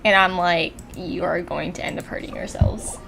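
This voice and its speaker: A woman's voice: on the low side, fairly even intonation, normal speed, creaky, noisy background. A woman giving casual livestream commentary as she plays a game. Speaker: game streamer.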